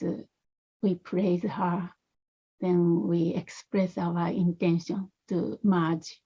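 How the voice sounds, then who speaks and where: A woman leading a guided meditation, speaking softly and unhurriedly in short phrases with long pauses. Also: lowish voice, breathy edge, muffled recording. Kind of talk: guided meditation, gentle spoken instruction.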